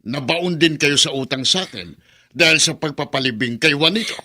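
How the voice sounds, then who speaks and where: A man playing a greedy, domineering middle-aged brother-in-law with a Filipino accent, forceful and sneering in an audio melodrama. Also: fairly high pitch, a little fast. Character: greedy, bullying brother-in-law, domineering older male villain. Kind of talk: melodramatic radio drama acting.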